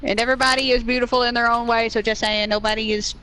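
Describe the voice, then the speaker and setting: A woman's voice: a little high, level tone, quick pace, bright, forceful tone, poor audio. A young woman with a Southern US accent, chatting earnestly while playing a game with a friend, rambling with many restarts. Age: young adult. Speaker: co-player on a gaming stream.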